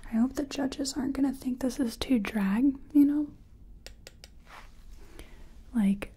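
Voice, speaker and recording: Woman acting as nervous makeup artist, very breathy whisper, mellow tone, hesitant murmuring, ASMR roleplay. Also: fairly high pitch, full character voice. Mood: fretful, focused. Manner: murmured, hesitant self-commentary while working. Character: nervous female makeup artist competing in a contest. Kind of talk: soft-spoken ASMR personal attention roleplay.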